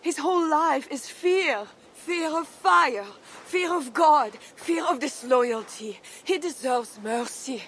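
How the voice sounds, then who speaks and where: A woman's voice: high-pitched, expressive, slow, few pauses, a bit bright, slight background noise, heavily acted. An actress playing a devout, merciful and steadfast medieval noblewoman, earnest and prayerful, in a video game. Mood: pleading, earnest. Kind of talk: dramatic video game acting.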